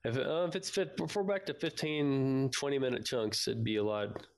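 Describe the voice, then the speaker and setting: A man's voice: mid-range voice, normal speed, gravelly. A man co-hosting a fan podcast, chatting casually and at times reading aloud. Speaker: podcast co-host.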